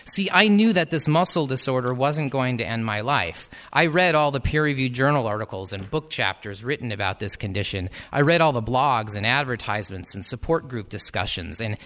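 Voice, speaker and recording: A man, a teacher, reading his own reflective personal essay in a measured, thoughtful way with a slightly wry edge. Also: mid-range voice, animated, a bit bright.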